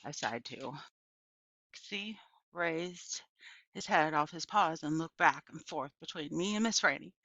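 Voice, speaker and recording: Woman narrating a kids book, very animated, dry close sound but a bit dull and lo-fi. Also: low voice, unhurried, no echo. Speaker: audiobook reader. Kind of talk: expressive storybook narration.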